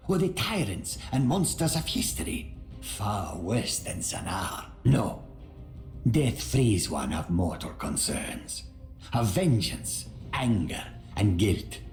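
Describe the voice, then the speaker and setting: An actor playing a proud, menacing old assassin in a video game, haughtily speaking of himself in the third person. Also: male voice, on the low side, a little mellow, heavily acted. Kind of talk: video game character acting. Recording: roomy sound with faint music.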